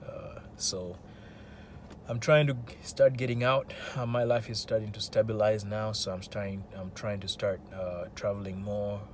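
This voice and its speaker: A man's voice: lowish voice, fairly even intonation, normal speed. A man in his thirties with a Ugandan accent, vlogging casually into a phone from a parked car, hesitant with many fillers and restarts. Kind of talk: casual selfie vlog. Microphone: phone at arm's length. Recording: phone in a car with background noise.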